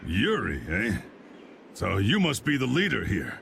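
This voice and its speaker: Gravelly old American guild boss. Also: male voice, lowish voice, huge pitch swings, a little slow, background noise, full character voice. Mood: gruff, brusque. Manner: folksy, commanding. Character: blustery, hearty old male guild boss spoiling for a fight. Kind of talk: video game voice acting.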